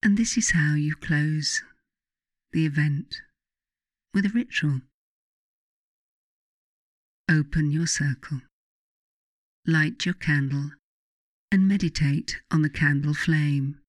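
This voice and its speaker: A meditation guide reads at a very unhurried pace in a soothing, instructing manner. The voice sits in a middle register and is recorded very dry, with no room around it. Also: mid-range voice, no echo. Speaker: author narrating a guided meditation. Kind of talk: guided meditation, scripted narration.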